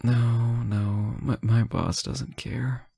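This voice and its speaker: Man acting as a slow, sleepy, affectionate boyfriend. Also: mid-range voice, fairly even intonation, creaky, husky, no echo, exaggerated voice. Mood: tired, defensive. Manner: soft-spoken, hesitant, drowsy, gently joking. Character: sleep-deprived, teasing, affectionate boyfriend on late-night call. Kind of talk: intimate audio roleplay, one side of a phone call.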